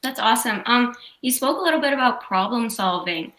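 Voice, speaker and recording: A woman's voice: a little high, animated, fairly quick, sharp tone. A woman interviewing a guest, starting her questions with "um". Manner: filler "um" before questions. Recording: echoey room.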